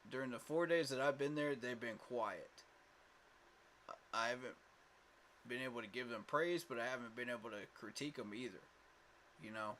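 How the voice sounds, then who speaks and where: A man's voice: medium pitch, normal speed, pauses often, fairly bright tone, a little hiss or hum. A man hosting a casual fan livestream, talking emphatically with lots of fillers and repeated words, tired from little sleep. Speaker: fan channel host.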